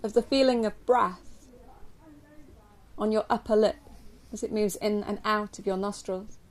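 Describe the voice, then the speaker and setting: A woman from England leading a guided yoga nidra meditation for a group, speaking gently and slowly with long pauses. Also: medium pitch. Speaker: yoga nidra teacher guiding a relaxation. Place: live group session at a camp. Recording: steady ambient noise, live recording.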